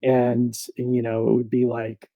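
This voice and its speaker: A man in his thirties, a podcast guest, telling his story in a relaxed, reflective way with many fillers. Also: mid-range voice, normal speed, dry recording, phone-quality sound.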